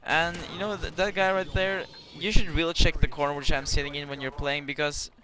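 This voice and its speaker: A man's voice: a little high, little pitch movement, fairly quick, sharp tone. A young man with a Norwegian accent giving casual, rambling commentary over his gameplay, swearing now and then. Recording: clear background hiss.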